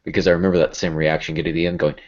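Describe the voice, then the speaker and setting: A man's voice: low-pitched, little pitch movement, very fast. A man hosting a casual podcast in a playful, joking manner. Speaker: podcast host, creative writing teacher. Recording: dull, muffled audio.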